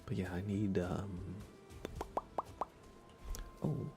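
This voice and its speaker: A man streaming ASMR in a soft, breathy whisper, chatting casually and gently while he plays a game. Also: deep voice, little pitch movement, drawn-out words, loud music behind the voice. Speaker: livestream host. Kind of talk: ASMR livestream, casual chat while gaming.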